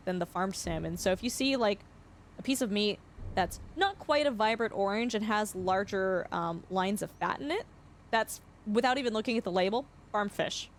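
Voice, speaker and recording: A young woman streaming a farming game, chatting casually and explaining facts with lots of fillers, her voice slightly hoarse. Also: mid-range voice, a little hiss or hum. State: voice going hoarse. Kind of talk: casual live-stream chat.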